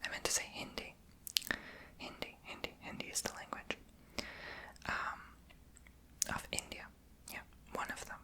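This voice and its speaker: A woman's voice: a little slow, long pauses, pushed and bright. A woman whispering in an ASMR video, chatty and rambling, who says she is tongue-tied and makes her r in her throat. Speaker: ASMR creator.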